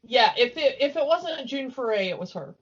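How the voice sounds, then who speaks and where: A woman's voice: high voice, expressive, moderate pace, husky. Woman podcast co-host, slightly muffled. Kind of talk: casual fan podcast chat. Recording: dull lo-fi voice recording.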